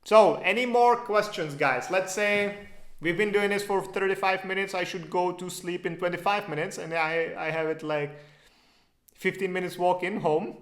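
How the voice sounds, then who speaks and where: A man's voice: high-pitched, moderate pace, fairly bright tone. A man from the Czech Republic speaking English with a Czech accent, hosting a casual live question-and-answer stream in a loose, chatty way with lots of fillers. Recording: roomy echo.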